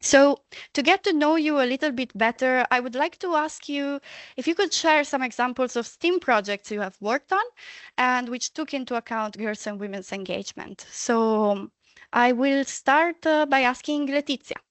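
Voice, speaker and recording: Clear high-voiced woman moderating a panel. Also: a bit bright, no room sound. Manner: measured, introduces speakers with fillers.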